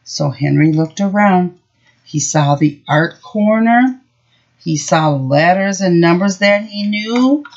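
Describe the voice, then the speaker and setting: A middle-aged woman teacher explaining simple words and reading a story aloud to young children, instructive and expressive. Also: on the low side, takes it slowly. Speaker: preschool teacher. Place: small room at home. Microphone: built-in webcam or laptop mic. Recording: dull, lo-fi webcam audio.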